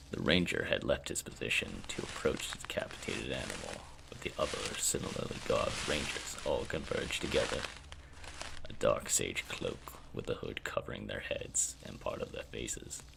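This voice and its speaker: A man reads dark fantasy prose in an extremely low register. His voice is thick with heavy fry and often drops into breath, as a dramatic storyteller's reading. A faint noise sits behind him. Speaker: author reading own story. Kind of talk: dramatic scripted fantasy narration.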